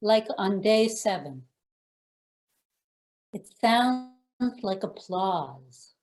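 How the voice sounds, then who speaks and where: A woman reciting her poem in measured phrases. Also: mid-range voice, lively intonation, a little mellow. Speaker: poet. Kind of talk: slow poetry recitation.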